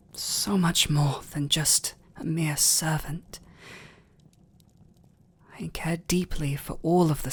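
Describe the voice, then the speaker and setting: A tender, lovestruck vampire lord played by a man speaks with a British accent in an intimate ASMR roleplay. His voice is husky and breathy, often sinking near a whisper. He takes his time, choosing formal, aristocratic words with halting restraint, as if torn between hunger and devotion. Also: a little high, heavily acted. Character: tender, conflicted male vampire lord in love.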